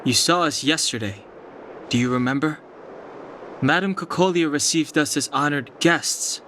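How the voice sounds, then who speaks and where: An actor playing a calm, serious, reserved young fighter in a video game, composed and matter-of-fact. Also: male voice, on the high side, moderate pace. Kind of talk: English game voice acting. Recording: clear background noise.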